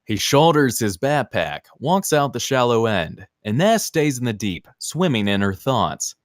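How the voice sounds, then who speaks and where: A male narrator reads screenplay action in a scripted style. His pitch is medium and moves around in a lively way. The recording is dry, with little room sound. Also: a little slow, dry recording. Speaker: narrator reading stage directions.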